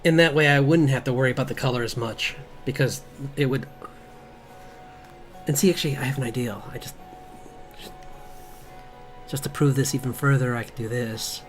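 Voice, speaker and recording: Man, mid-pitched voice, casual streamer chat, halting and rambling delivery, background music. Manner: halting, rambling, chuckling asides. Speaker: streamer and comic artist. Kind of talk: casual livestream chat while drawing.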